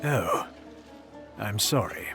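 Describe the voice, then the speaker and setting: An actor playing a composed, polite European traveler with dry wit and a measured, understated manner in an audio drama. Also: male voice, lowish voice, lively intonation, gravelly, sharp tone, faint music behind, exaggerated voice. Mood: mild, chatty.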